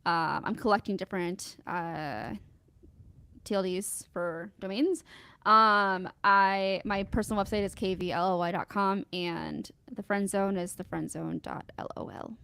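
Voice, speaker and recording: A woman guest in casual chat, telling animated stories and acting out what people said. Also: medium pitch, measured pace, vocal fry, clean tone, dry recording. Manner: animated storyteller, acts out quotes. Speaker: podcast guest.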